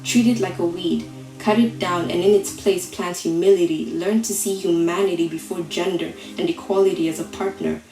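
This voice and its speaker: Echoey, low-pitched woman reciting slam poetry. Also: fairly even intonation. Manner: deliberate, rhetorical delivery with dramatic pauses. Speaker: slam poet. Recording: roomy echo, faint music behind.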